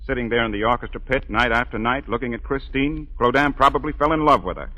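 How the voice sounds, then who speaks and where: Bright-voiced, suave opera singer, 1940s radio. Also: male voice, mid-range voice, fast, rough recording. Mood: suave, needling. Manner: smooth, formal, theatrical, drily sarcastic. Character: suave, proud male opera singer, a romantic rival. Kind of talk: 1940s radio drama acting. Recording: 1943 radio broadcast with music.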